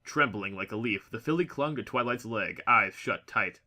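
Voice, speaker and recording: A man reading a scripted story as a narrator, with expressive, dramatic delivery. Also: on the low side, normal speed. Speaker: audiobook narrator.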